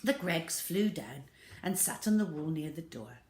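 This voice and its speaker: A woman's voice: low-pitched, animated, measured pace, darkish tone, echoey room. A woman reading a children's story aloud expressively as storyteller.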